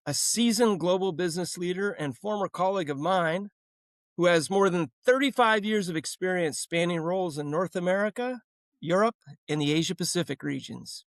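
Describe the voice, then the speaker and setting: A man's voice: high voice, normal speed, dry recording. A man hosting a business podcast, introducing his guest and asking questions in a friendly, loosely rambling way. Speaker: podcast host. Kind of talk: interview hosting.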